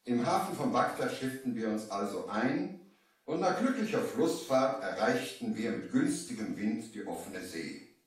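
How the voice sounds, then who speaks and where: A man's voice: medium pitch, animated, unhurried, natural voice. A man playing a young merchant who narrates his sea adventure theatrically in a 1970s children's radio play. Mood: narrating, suspenseful. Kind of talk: dramatic scripted storytelling in 1970s radio play. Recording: very echoey, slightly distant vinyl transfer.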